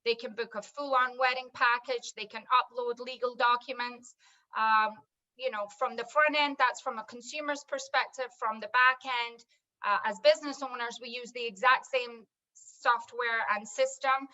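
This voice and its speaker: A woman's voice: a little high, steady tone, normal speed, bright, forceful tone. Scottish woman on a dull video call. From Scotland. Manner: fluent, businesslike, listing items one by one. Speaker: wedding software business owner. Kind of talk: pitching in an online panel discussion.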